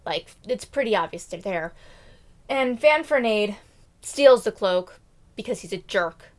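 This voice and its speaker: A woman's voice: medium pitch, expressive, measured pace. A woman retelling a fairy tale casually and comically, with slangy, theatrical, quirky delivery. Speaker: storyteller.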